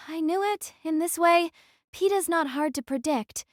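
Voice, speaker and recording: A woman's voice: very high voice, a little slow, no echo, clean studio sound. A woman acting in an audio drama as a troubled, defiant young survivor who narrates her own thoughts in a dramatic, introspective way. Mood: guilty, shaken.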